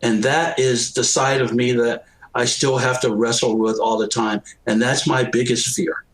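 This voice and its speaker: An American man gives personal, earnest remarks to a panel over a video call. His voice is mid-pitched, and he speaks with emphasis, building his points through repetition. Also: little pitch movement, moderate pace, rough recording. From United States. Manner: emphatic, repeats phrases for effect.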